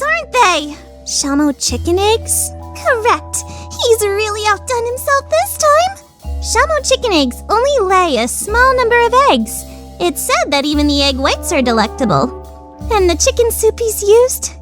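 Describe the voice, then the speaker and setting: Teenage girl food judge, anime radio play: very high, theatrical, grandiose, wildly animated. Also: exaggerated voice. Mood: gushing, self-satisfied. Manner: grandiose, gushing, dramatic pauses. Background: background music.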